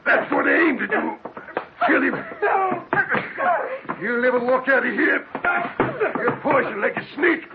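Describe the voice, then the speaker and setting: A gravelly violent desert prospector. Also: male voice, extremely high pitch, unhurried, long pauses, exaggerated voice. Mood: furious. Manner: rustic drawl, shouting threats. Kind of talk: 1940s radio acting. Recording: tinny old radio with clear hiss.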